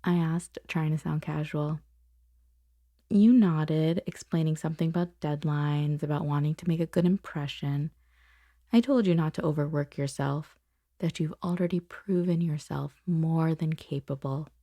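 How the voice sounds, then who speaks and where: A woman playing a controlled, powerful boss torn by forbidden attraction, in an intimate ASMR roleplay, speaking softly and deliberately with lingering pauses, often dropping to a whisper. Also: low voice, no echo. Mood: hushed, seductive. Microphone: close, dry microphone.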